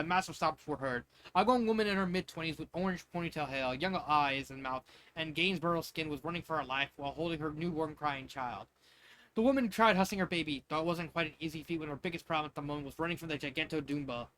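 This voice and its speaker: A man's voice: a little high, fairly bright tone, a little hiss or hum. A man narrating fan fiction in an informal, amateur way, stumbling over words with casual asides.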